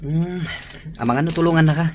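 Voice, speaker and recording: A woman's voice: low-pitched, quick pace, crowd noise, natural voice. A woman playing an easygoing, joking teenage student in an audio drama. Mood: unsure.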